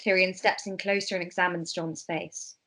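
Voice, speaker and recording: A woman's voice: fairly low pitch, little pitch movement, moderate pace, sharp tone. A woman reading scripted narration and stage directions in an amateur table read.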